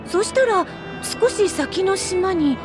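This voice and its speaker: A woman playing an eager, chatty young researcher in a Japanese video game, earnest, polite and expressive. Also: extremely high pitch, slow pace, heavily acted. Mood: excited storytelling. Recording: studio voice over loud music.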